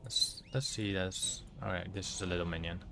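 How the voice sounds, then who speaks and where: Low-voiced Dutch man narrating gameplay. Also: fairly even intonation, creaky, pushed and bright, faint music behind. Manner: halting, many fillers, searches for words. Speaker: streamer. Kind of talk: casual gameplay commentary.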